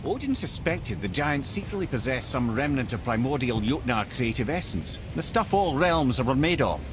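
An actor with a Scottish accent playing a chatty, clever older advisor in a video game, wordy and wry. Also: male voice, medium pitch, moderate pace, noisy background, natural voice. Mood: grave, explanatory. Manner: animated, wordy, wry explainer. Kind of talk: video game cutscene acting.

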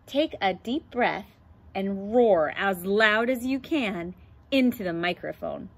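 A woman's voice: mid-range voice, expressive, takes it slowly, gravelly, exaggerated voice. Woman playing a blunt lion teacher. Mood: instructing. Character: bossy male lion teacher, blunt and unimpressed.

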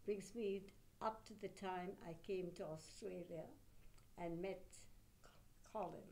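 An older woman from Burma with an Anglo-Burmese accent, an author telling her story in an unhurried, reflective way with pauses. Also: on the low side, fairly even intonation, a little mellow, slight background noise. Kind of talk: interview answers. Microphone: table mic on a stand.

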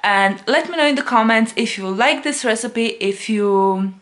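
A woman's voice: on the high side, moderate pace, fairly bright tone. A woman in her thirties talking casually as a cooking vlogger, recorded indoors at home by a camera a short distance away. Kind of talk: casual recipe vlog.

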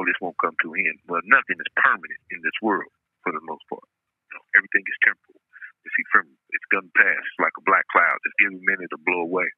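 A man's voice: low-pitched, slightly rough. A man calling in by telephone, talking casually and reflectively in a philosophical way, repeating himself for emphasis. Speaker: guest calling by phone. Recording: tinny, echoey telephone line.

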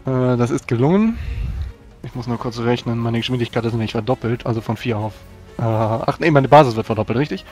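A man's voice: medium pitch, brisk, darkish tone, faint music behind. A man playing a tabletop role-playing game, chatting casually through his moves and sums.

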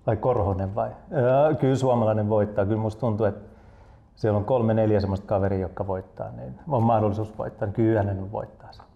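A man's voice: fairly low pitch, steady tone, gravelly, phone-quality sound. A middle-aged man giving answers in an interview as a coach, seated indoors. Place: indoor lounge.

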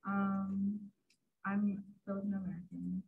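Young woman, student panelist, shy and giggly, mid-pitched. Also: flat delivery, unhurried, vocal fry, narrow, tinny audio, soft background music. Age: young adult. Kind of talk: casual panel discussion.

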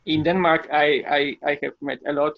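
A young Polish-accented man joins over a video call, speaking halting English with fillers and laughing easily. His voice sits a bit higher than most men's and the sound is dull and lo-fi but dry. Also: steady tone, gravelly, no echo. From Poland. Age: young adult. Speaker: podcast guest. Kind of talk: casual chat.

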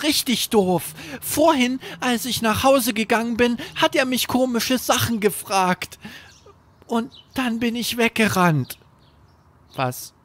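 Young boy , acted by a man: high, slow, simple earnest talk, a little worried, bedtime audio story. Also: fairly bright tone, heavily acted. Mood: sulky, earnest.

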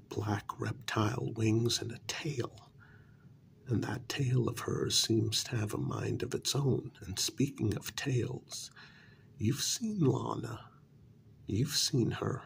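A man doing a soft-spoken, often whispered ASMR role-play as a coaxing, placating talent agent, with deliberate, halting phrasing. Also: fairly low pitch, gravelly, slight background noise. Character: persuasive, placating talent agent to supernatural creatures.

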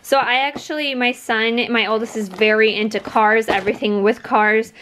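High-voiced woman chatting, noisy recording. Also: steady tone, moderate pace, a bit bright. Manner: rambling, conversational. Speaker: vlogger. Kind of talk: casual chatty haul video talk. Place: indoors at home by a wooden table.

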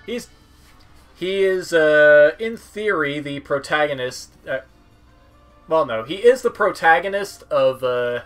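A man doing casual game commentary as a streamer, halting as he goes and dropping wry asides. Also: a little high, lively intonation, a bit bright. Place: small room at home, indoors. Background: game music.

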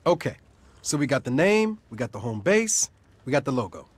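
Casting: eager young male schemer in a game, mid-range voice with lively swings, casual pitchman manner. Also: normal speed, dry recording. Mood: enthusiastic. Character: enthusiastic, scheming young male crime entrepreneur.

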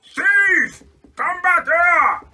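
A man voicing a furious storybook gardener, bellowing forcefully as if chasing a thief. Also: extremely high pitch, expressive, very slow, pitched above the performer's own voice, full character voice. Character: angry male gardener shouting after a thief.

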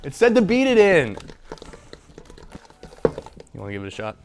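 A man's voice: high voice, huge pitch swings, moderate pace, slight background noise. A young man hosting a casual home cooking show in a kitchen, chatting upbeat while talking to a silent mascot beside him, on a lapel mic. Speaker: host.

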